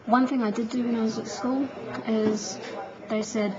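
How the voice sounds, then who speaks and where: A woman's voice: on the high side, fairly even intonation, moderate pace. Chatty New woman on a muffled phone line. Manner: chatty, laughs easily, reflective. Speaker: interviewee, teacher. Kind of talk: casual phone interview. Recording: muffled phone-call recording with crowd noise behind.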